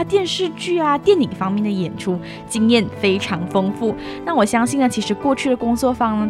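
A young woman with a fairly high voice hosts a student radio program. She talks in a chatty, interview style, and music plays clearly behind her. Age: young adult. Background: background music.

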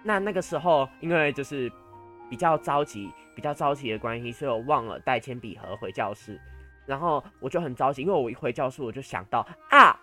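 Student podcast host: young man, Taiwanese Mandarin accent, mid-pitched voice, casual and playfully dramatic storytelling, light music underneath. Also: animated, brisk, bright, forceful tone. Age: teens to young adult, high school senior.

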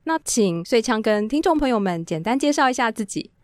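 A woman's voice: on the high side, sing-song, a little fast. A woman with a Taiwanese Mandarin accent who hosts a radio show, presenting scripted introductions and interviewing a guest. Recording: music playing behind the voice. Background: music.

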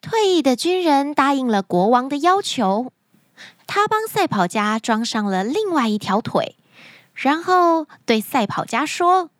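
A woman hosting a children's story show, speaking to young listeners in an animated, expressive storytelling manner. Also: high voice, a little slow, no echo, studio quality. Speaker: children's story podcast host.

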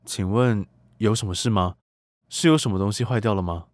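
An actor playing a polite young tutor who secretly observes others, narrating and talking in a scripted audio drama. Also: male voice, fairly low pitch, brisk, dry recording, studio quality, close to an everyday voice. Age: young adult.

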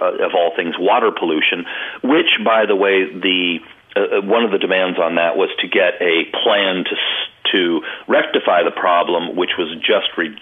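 A man co-hosting a news talk show, giving political commentary in a hesitant way with many pauses and restarts, over a phone line. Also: sits low.

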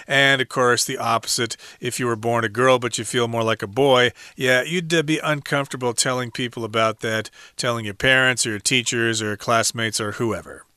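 A man's voice: medium pitch, steady tone, moderate pace, few pauses, pushed and bright, smooth, no echo, clean studio sound. A man co-teaching an English lesson. He casually restates each point in plain, everyday words. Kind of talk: explaining a reading passage.

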